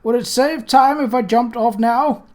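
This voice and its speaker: A man voicing a fussy, nervous male wizard in a storybook reading, talking high and politely flustered. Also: measured pace. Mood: wry.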